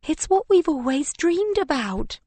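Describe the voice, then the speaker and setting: A panicked, guilty woman pleading with her lover in an audiobook, acted out by a female narrator. She whines and falters, croaky and stammering, in a dry studio sound. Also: sits high, sing-song, unhurried, warm tone, no echo, voice pushed up. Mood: whining, pleading. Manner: croaking, stuttering, whining.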